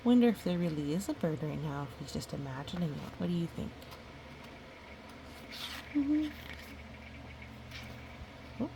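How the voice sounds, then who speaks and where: Slow-paced woman reading a picture book. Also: lowish voice, big pitch swings, noisy background. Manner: gentle, musing, chatty asides. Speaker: storybook reader. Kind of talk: read-aloud of a picture book with casual asides to a child listener.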